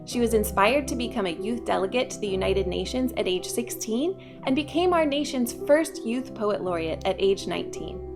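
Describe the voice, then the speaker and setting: Lady reading a library segment script, slightly high voice, music under it. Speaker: library staff presenter. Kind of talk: scripted narration.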